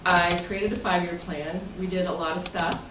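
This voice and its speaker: A woman's voice: lowish voice, flat delivery, moderate pace. American woman addressing a meeting, echoey and distant. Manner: jokey, breaking into chuckles. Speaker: writers' club president and meeting host. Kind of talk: informal speech to a club meeting, part read from prepared text. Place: indoor club meeting room. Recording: echoey, noisy room, distant mic.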